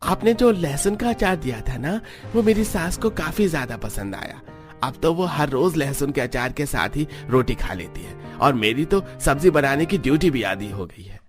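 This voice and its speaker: An appreciative market customer played by a man in a cartoon story. The delivery is chatty and animated, with faint music underneath. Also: fairly high pitch, moderate pace, lightly acted. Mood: pleased. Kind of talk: Hindi cartoon story dialogue.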